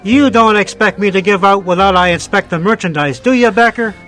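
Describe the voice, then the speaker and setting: High-voiced, cynical wisecracking bellhop. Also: male voice, brisk, a bit bright, faint music behind. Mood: cheeky, bargaining. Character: wisecracking, cynical, bribable male hotel bellhop. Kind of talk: detective radio drama acting.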